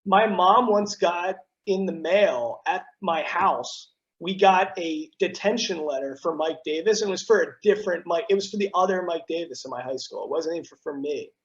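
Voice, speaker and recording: A man in his thirties, a broadcaster, chatting casually as a guest with slangy, animated storytelling over a video call. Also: sits high, moderate pace, dull audio. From US East Coast. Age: 30s. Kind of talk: casual interview chat.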